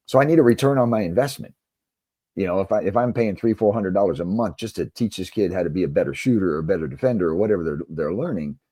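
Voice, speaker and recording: A man's voice: sits low, warm tone, no room sound, clean studio sound. A man speaking as a coach and interview guest, answering conversationally in an earnest, emphatic way.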